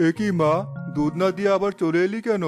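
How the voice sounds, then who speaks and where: An actor voices a poor village father in a cartoon tale. His voice is notably high for a man, and he talks in an earnest, excitable, kindly way. Soft music plays behind him. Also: a little fast, natural voice. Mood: earnest, humbled. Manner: exclamatory, earnest. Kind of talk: cartoon story acting. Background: faint music.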